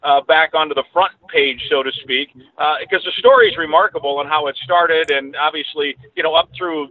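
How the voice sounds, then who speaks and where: A man's voice: on the high side, a little fast. A male sports broadcaster giving conversational analysis as a guest over a remote call, rephrasing as he goes. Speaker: radio play-by-play announcer as interview guest. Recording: thin, narrowband phone-like line.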